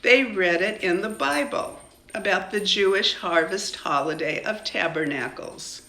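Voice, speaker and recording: An older woman acting out a storybook schoolteacher, a woman who is firm but kindly. She speaks slowly and emphatically, in a bright voice with some room echo. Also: medium pitch, voice pushed up.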